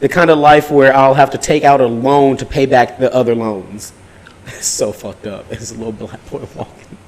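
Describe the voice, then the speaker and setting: A man's voice: medium pitch, fairly even intonation, moderate pace, a little hiss or hum. A man in a casual podcast chat who reads aloud mockingly, giggling, swearing and stumbling over words. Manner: giggly, stumbles while reading, profane. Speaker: podcast co-host.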